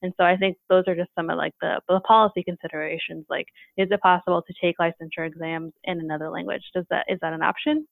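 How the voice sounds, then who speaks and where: A woman with a gravelly, creaky edge to her voice talks through the issues in an explanatory podcast chat. She keeps checking in with "right?" as she goes. The sound is thin and phone-like, with a dry, close feel. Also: on the low side, fairly even intonation, fast talker, hardly any pauses, no room sound. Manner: thoughtful, explanatory, many "right?" tags. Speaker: guest expert on teacher preparation. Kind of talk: podcast conversation.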